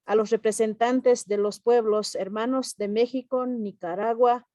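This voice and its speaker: A woman's voice: fairly high pitch, steady tone, a little slow, mellow, dry recording. Woman, Bolivian Spanish accent, official speech, declamatory, video call, dry sound. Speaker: Bolivian culture ministry representative.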